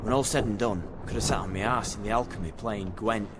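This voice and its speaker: An actor playing a chatty, wry petty thief in a video game, with a colloquial British accent. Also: male voice, lowish voice, fast, very noisy, close to an everyday voice. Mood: matter-of-fact, wry.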